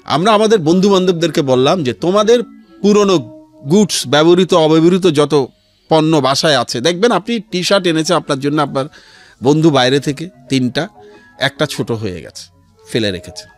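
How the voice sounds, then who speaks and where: Animated, higher-voiced Bangladeshi man explaining earnestly. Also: normal speed, soft background music. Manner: earnest, explanatory, mixes in English phrases. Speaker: interview guest, founder of a charity resale platform. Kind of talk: conversational storytelling in a radio interview. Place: radio studio.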